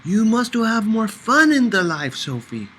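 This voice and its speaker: A man voicing an excitable, flirty woman of sixty in an audiobook, squealing and speaking broken English. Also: sits high, a little slow, slight background noise, voice pushed up, heavily acted. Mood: chiding.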